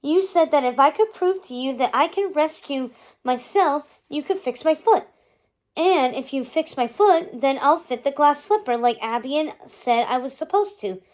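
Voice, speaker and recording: A woman's voice: sits high, expressive, moderate pace. A woman voicing an eager, earnest young woman with a sweet, bubbly manner in a storybook. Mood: eager, proud.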